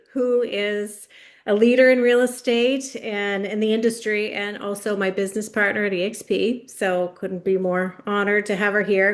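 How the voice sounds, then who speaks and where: Woman podcast host, eager intro style, mid pitch, lots of "um"s. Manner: eager, fills pauses with "um". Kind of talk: casual interview hosting.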